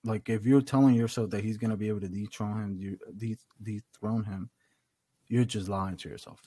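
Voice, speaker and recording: Emphatic American man, husky and close-miked. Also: lowish voice, fairly even intonation, normal speed, warm tone, no echo. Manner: emphatic, opinionated, slangy. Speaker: podcast co-host. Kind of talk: casual wrestling review chat. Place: small room at home, on a video call. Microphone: desktop condenser microphone, close.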